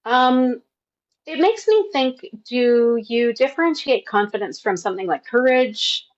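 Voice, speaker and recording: Casting: casual woman interview host, chatty and relaxed, slightly muffled lo-fi call sound. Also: on the high side, a little slow. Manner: casual, chatty, many "like" fillers. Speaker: podcast host and business coach. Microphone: video call setup.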